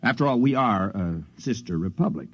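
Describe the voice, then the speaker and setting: An eager, courteous envoy with a low, husky, mellow voice that rises and falls with feeling. Dry studio sound with the dull lo-fi band of 1950s radio. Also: male voice, animated, moderate pace, no echo. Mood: earnest. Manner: polite, eager, a little hesitant. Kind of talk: 1950s radio drama acting.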